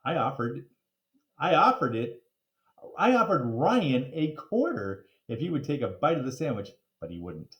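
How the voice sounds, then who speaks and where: A man reading a children's story aloud in a playful, expressive way with theatrical emphasis. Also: mid-range voice, moderate pace, muffled recording. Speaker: reader of children's book. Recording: roomy, echoing room.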